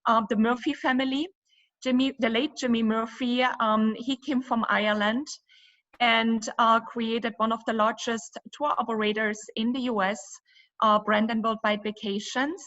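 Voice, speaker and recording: A woman's voice: fairly high pitch, fairly even intonation, normal speed, a bit bright. A German-accented woman who owns a cruise company answers interview questions, enthusiastic and fluent, with frequent fillers. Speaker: river cruise company co-owner. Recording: muffled lo-fi audio.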